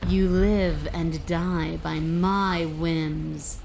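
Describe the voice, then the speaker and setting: An actress playing a monstrous, predatory beast princess in a video game, speaking with slow, deliberate menace. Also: female voice, sits low, steady tone, vocal fry, darkish tone, slight background noise, heavily acted. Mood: hungry menace.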